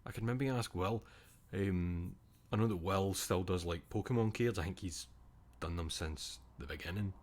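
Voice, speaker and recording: A young man with a Scottish accent rambles through relaxed stream chatter. His voice sits very low, and he drops in casual swearing as he talks. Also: normal speed. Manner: rambling, swears casually, reads chat aloud.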